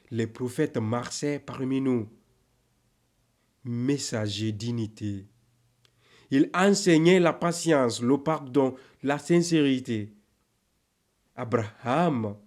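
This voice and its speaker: Guy reading a spiritual poem really slowly, solemn and declamatory, mid pitch, lots of pauses. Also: darkish tone. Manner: declamatory, measured, with deliberate pauses between phrases. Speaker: poem narrator. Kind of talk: solemn spiritual poetry recitation.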